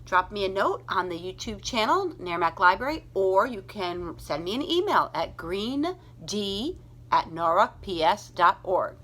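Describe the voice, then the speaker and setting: A woman librarian reading aloud to children in an expressive storytelling manner, speaking directly to young listeners. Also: medium pitch.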